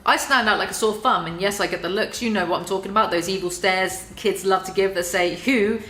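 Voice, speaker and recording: Woman, sarcastic, mock-dramatic reader, bright crisp tone, echoey room. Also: medium pitch, fairly quick, soft background music. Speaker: YouTuber reading and mocking a book. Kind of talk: dramatic reading with sarcastic commentary. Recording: roomy echo.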